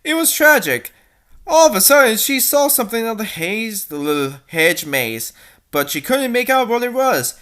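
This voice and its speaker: A woman's voice: a little high, very animated, moderate pace, a bit bright. A woman reading a story aloud for comedy, with sarcastic, amused commentary in a mocking style. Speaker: reader and commentator.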